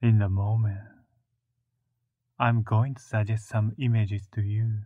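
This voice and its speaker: Male meditation guide: very slow, even-toned, soothing delivery in short phrases with long pauses, through a thin phone-like recording. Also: lowish voice, no room sound. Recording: muffled, narrow phone-like sound.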